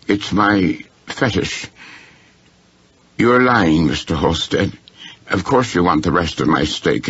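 A man voicing a frank, thin male dinner guest of about thirty in an audiobook, precise and candid, with a gravelly, husky voice. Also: deep voice, fairly bright tone, dull audio, heavily acted.

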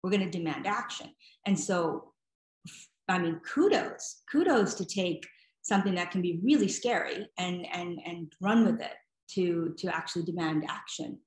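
A woman's voice: lowish voice, a little slow. A middle-aged woman answering interview questions thoughtfully, searching for words and repeating them as she goes, on a video call. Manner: hesitant phrasing, repeats words while thinking. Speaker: guest speaker, nonprofit director. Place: home room, indoors. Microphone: video call computer mic. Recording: roomy echo on video call.

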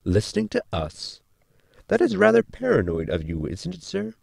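A man's voice: fairly high pitch, wildly swooping pitch, normal speed, gravelly, a little mellow, dry recording, clean studio sound, exaggerated voice. A man playing a polite, pedantic robot crewmate who explains things in a sci-fi comedy audio drama. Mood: chirpy, literal. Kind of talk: sitcom audio drama acting.

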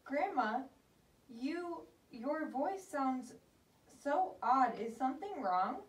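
Woman acting a sweet fairy-tale girl, childlike, earnest, raised pitch, distant roomy sound. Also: animated, takes it slowly. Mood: puzzled. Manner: earnest, wide-eyed, childlike. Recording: distant, echoey room.

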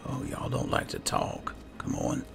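Casting: male gameplay role-player, laid-back muttering, rock-bottom pitch with very wide swings. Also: wildly swooping pitch, a little slow, gravelly. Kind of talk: casual first-person role-play commentary. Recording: game music under the voice.

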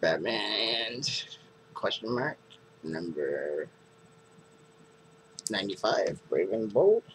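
A man in his thirties, sitting at a webcam in a small bedroom, slowly listing off comic books he won at auction. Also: fairly low pitch, big pitch swings, a little hiss or hum, poor audio. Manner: slow, plain listing of items. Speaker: comic collector vlogger. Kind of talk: casual show-and-tell vlog. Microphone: webcam at close range.

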